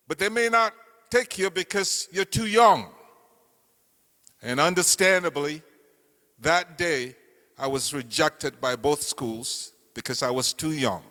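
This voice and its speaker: An older man from Cameroon giving an earnest campaign speech, telling his life story with slow, deliberate emphasis. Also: high voice, pushed and bright. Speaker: political candidate speaking at a meeting. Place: meeting hall. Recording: reverberant hall.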